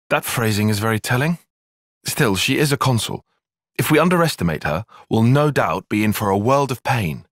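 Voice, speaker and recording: Young British male game tactician: articulate, cautious, easily exasperated, expressive range, dry close recording. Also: fairly low pitch, dry recording. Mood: cautious, analytical. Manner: measured, formal, articulate, dry. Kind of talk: video game cutscene voice acting.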